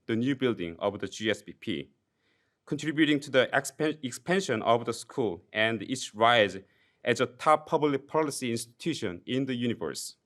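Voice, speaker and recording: Young Korean-accented man introducing a professor from the podium, measured and formal with light self-deprecation. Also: medium pitch, a bit bright. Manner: reads a formal tribute with occasional self-deprecating jokes, some restarts. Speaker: PhD graduate introducing a speaker. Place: university lecture hall. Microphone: podium microphone.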